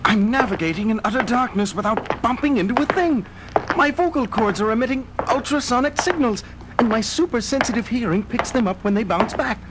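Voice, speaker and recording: An actor playing an excitable, obsessive scientist turning into a bat creature, declaiming melodramatically in 1970s audio comic-book style. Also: male voice, very high voice, brisk, very noisy. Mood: exultant. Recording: 1970s record with very loud music. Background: very loud music.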